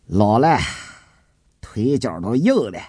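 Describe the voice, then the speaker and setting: A man voicing a jaded, scornful gangster boss with a gruff, dismissive manner in an audiobook drama. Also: mid-range voice, very animated, takes it slowly, warm tone, dry recording, studio quality, exaggerated voice. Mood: cool, dismissive. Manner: sneering, dismissive, coarse slang. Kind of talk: dramatised audiobook character acting.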